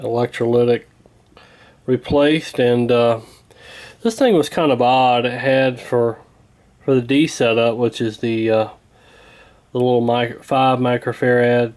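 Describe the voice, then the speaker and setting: Guy doing a repair video, folksy and unscripted, kinda halting, regular mid voice. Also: mid-range voice, darkish tone. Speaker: radio repair hobbyist, presenter. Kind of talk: casual hands-on repair commentary.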